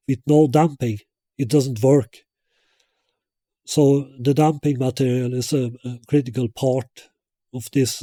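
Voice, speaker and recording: A man presenting a technical product explanation as a loudspeaker designer, in a halting, hesitant way. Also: mid-range voice, dry recording, studio quality.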